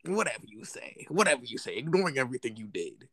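A man's voice: mid-range voice, sing-song, quick pace, fairly bright tone, dry recording. A young man with a mid-range voice, recorded close and dry. He chats casually and teases his sibling with mock seriousness, needling more than arguing. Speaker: podcast co-host. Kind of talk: casual sibling podcast chat. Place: apart from his co-host, remote recording.

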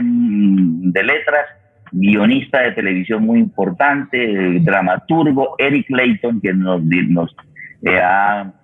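Very deep, husky Colombian man, phone-like sound. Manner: halting, searching for words, repeating phrases. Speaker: radio theatre programme host. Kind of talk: unscripted sign-off and announcements. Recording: muffled, phone-like radio connection.

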